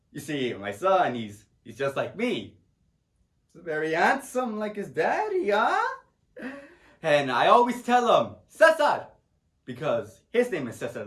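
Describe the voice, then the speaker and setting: A proud, tender, grieving middle-aged Puerto Rican father, acted by a man in a dramatic monologue. His voice is high and swings widely, with a Spanish accent and rambling broken English. It sounds in an echoey room. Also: sing-song, a little slow, a bit bright. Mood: fond, wistful. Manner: broken English, rambling, tags phrases with "huh?".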